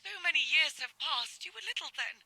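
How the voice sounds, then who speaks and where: A woman's voice: high-pitched, lively intonation, brisk, bright, forceful tone, no echo, exaggerated voice, low-quality recording. An actress playing an anxious middle-aged mother who pleads with her daughter in distress, in dramatic game dialogue. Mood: desperate pleading.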